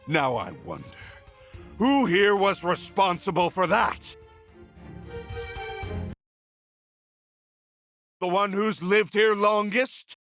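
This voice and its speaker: An actor playing an embittered, menacing middle-aged villain in a video game, with a theatrical, taunting delivery. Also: male voice, sits high, very animated, unhurried, fairly bright tone, soft background music, heavily acted. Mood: taunting, unhinged. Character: embittered, menacing villain, a false father consumed by obsession. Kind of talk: dramatic video game voice acting.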